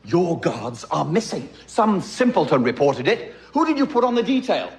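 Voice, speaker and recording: A man's voice: a little high, sing-song, normal speed. A man playing an irate, ruthless Roman official in a church drama, speaking with biting sarcasm and command. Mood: angry, sarcastic. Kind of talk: theatrical church drama dialogue.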